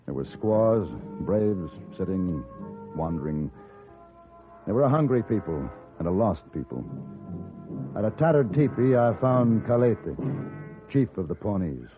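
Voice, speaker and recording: An actor playing a composed, educated gunfighter with an American accent, measured and dry, acting and narrating in a 1950s radio western. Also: male voice, lowish voice, big pitch swings. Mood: grave narration. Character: composed, educated gunfighter for hire, coolly authoritative. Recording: phone-like 1950s radio recording with music.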